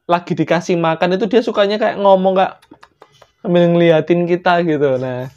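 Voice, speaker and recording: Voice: medium pitch, normal speed, a little mellow, muffled recording. A young adult with a Javanese-influenced Indonesian accent improvises a story casually, with playful, joking asides. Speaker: storyteller, channel host.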